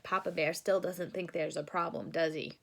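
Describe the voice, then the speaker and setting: A woman reading a children's storybook aloud and explaining it simply, in an expressive, careful teacherly way. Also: lowish voice, moderate pace, gravelly, a little hiss or hum.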